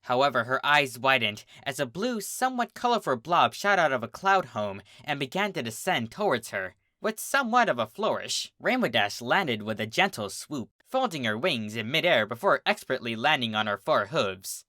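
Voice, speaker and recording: Bright, expressive fan fiction storyteller. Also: mid-range voice, moderate pace, few pauses, no room sound, clean studio sound. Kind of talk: dramatic scripted story narration.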